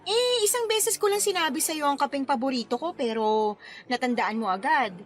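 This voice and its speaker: A woman's voice: high voice, lively intonation, moderate pace. A woman with a Filipino accent playing a playful, bashful wedding planner in her forties in a radio drama. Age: 40s. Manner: playful, teasing banter. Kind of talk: conversational radio drama acting. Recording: music bed under the voice.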